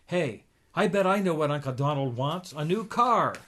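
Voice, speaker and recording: A man's voice: a little high, expressive, moderate pace, a bit bright. A man voicing an eager, exclamatory young boy duck while reading a comic book aloud.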